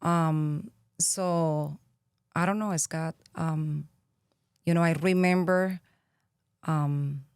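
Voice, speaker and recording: A low-pitched woman with a Venezuelan Spanish accent talks slowly and earnestly, pausing often to think. Her voice sits dry and close to the mic. She sounds like a podcast guest reflecting in her thirties. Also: fairly even intonation, a little mellow, clean tone, dry recording. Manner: reflective, earnest, with frequent pauses and fillers. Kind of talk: interview conversation.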